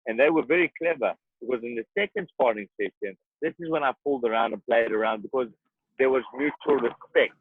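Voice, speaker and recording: Husky man on a tinny line. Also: mid-range voice, quick pace, no room sound. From South Africa. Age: 30s. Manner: earnest, emphatic, repeats himself when moved. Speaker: boxer guest. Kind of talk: interview conversation. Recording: tinny phone-like dry sound.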